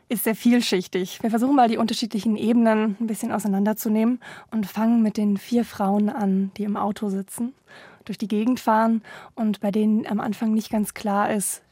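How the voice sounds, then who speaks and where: A woman's voice: mid-range voice, warm tone, breathy edge, dry recording, clean studio sound. A woman presenting a radio interview, introducing the subject and putting prepared questions to her guests.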